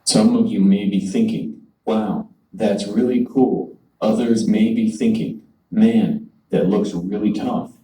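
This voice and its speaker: A computer-generated man's voice giving a prepared conference speech in a measured, formal way. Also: on the low side, roomy sound, far from the mic, poor audio. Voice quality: synthetic, even device voice. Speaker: conference speaker.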